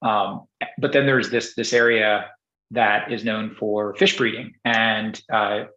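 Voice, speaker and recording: A man's voice: fairly low pitch, steady tone, slow, bright, forceful tone. Rambling man with a roomy, muffled sound. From Singapore. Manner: rambling, frequent restarts and fillers. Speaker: podcast guest, entrepreneur. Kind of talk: casual interview conversation.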